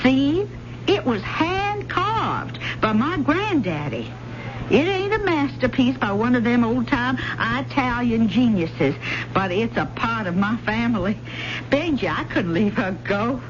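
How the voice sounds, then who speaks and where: Lively Southern country fiddler gal. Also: female voice, on the high side, a little slow. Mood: earnest, pleading. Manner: folksy, drawling country speech. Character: folksy, spirited country fiddler woman, proud and quick-witted. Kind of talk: old-time radio drama acting. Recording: muffled, noisy old radio recording.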